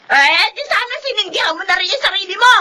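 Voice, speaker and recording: A woman acting a sharp-tongued, accusing older neighbour with a Filipino accent in a radio drama. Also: very high voice, expressive, quick pace, noisy background. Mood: irritated, accusing. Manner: accusing, insulting.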